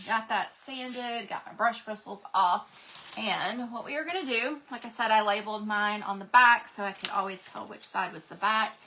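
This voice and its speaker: A chatty woman, talking her viewers through a live craft project at her desk. Her voice is bright and forward, with a faint hiss underneath it. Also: medium pitch, moderate pace. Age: 40s. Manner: chatty, folksy, talks to viewers as "y'all". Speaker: craft channel host.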